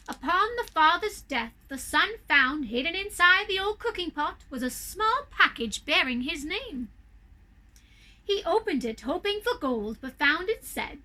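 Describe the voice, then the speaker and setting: A woman storyteller narrating a tale for children in an expressive, theatrical and deliberate way. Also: high-pitched, low-quality recording.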